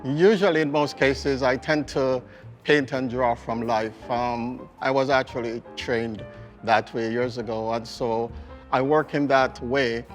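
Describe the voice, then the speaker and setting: A man's voice: medium pitch, fairly even intonation, measured pace, soft background music. A man answering interview questions as an artist, explaining his process conversationally, step by step. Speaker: portrait artist being interviewed.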